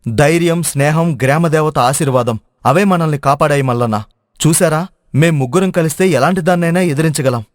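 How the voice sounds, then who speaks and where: A wise, respected village scholar, acted by a man in a storybook adventure. His voice sits a little high, with earnest, emphatic phrasing. The recording is dry and close. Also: brisk, no room sound, lightly acted. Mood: earnest, advising. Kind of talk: dramatic audio storybook acting.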